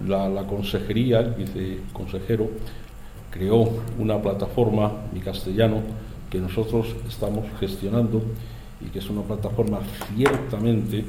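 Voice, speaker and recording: A man gives a formal speech in a university hall. His voice is lowish, mellow and quite flat, and he keeps repeating phrases. The sound is roomy, with a faint hiss behind him. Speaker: institute director. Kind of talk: formal speech at an agreement signing.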